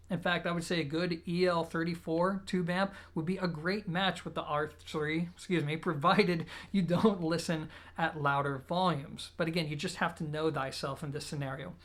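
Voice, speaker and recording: A man speaking as a product reviewer, explaining in a steady, relaxed way. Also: on the high side. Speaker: audio equipment reviewer.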